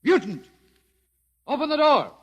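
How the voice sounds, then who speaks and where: A harsh, barking lieutenant. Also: male voice, very high voice, sing-song, a little slow, a bit bright, in a large hall, lo-fi sound, full character voice. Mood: shouting. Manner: barking orders, contemptuous. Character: brutal military lieutenant, adult man, bigoted. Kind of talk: 1950s radio drama acting. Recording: old radio transcription.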